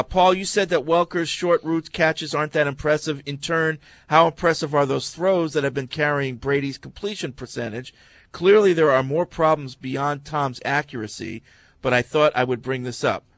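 A man's voice: a little high, a bit bright, no room sound. A man hosting a sports talk radio show, chatting with hesitant fillers and restarts. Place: radio studio.

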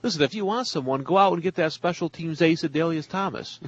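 Blunt, husky male sports pundit. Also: fairly high pitch, quick pace, no room sound, muffled recording. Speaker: sports show panelist. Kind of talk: opinionated casual sports talk.

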